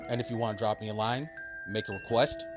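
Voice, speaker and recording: A man's voice: lowish voice, fairly even intonation, fast talker. A man hosting a radio show, speaking in a casual sign-off style. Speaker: podcast host. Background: loud mallet music.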